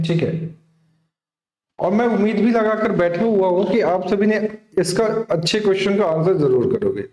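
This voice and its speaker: Indian guy teaching math class, soft mellow tone, really echoey room, keeps checking if students get it. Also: high-pitched. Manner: instructive, checks understanding with frequent short questions. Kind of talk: live exam-prep lesson, working through problems.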